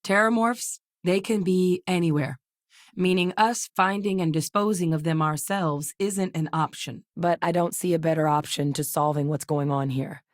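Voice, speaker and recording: Woman acting as female sci-fi game commander, low voice, earnest, measured, serious, articulate, dry close sound. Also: dry recording, lightly acted. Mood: serious, businesslike. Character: earnest, composed female military scientist and commander. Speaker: voice actress.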